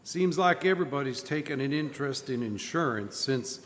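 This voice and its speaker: A man's voice: medium pitch, animated, moderate pace, fairly bright tone, a little hiss or hum, natural voice. A man playing an affable, fussy, arthritic insurance agent in old-time radio drama style. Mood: businesslike, guarded. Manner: hearty, fussy, repeats himself. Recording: live stage, reverberant church hall.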